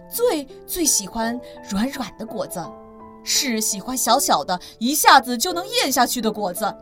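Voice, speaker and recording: Woman as fatherly T. rex, high and gentle, caring tone, music faint behind. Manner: soothing, fond, scolding softly.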